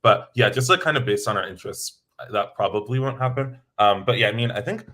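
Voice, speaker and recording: A young American man, a student and engineer, answering interview questions in a relaxed way, full of filler words. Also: lowish voice, brisk. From United States. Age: young adult. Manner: relaxed, many filler likes.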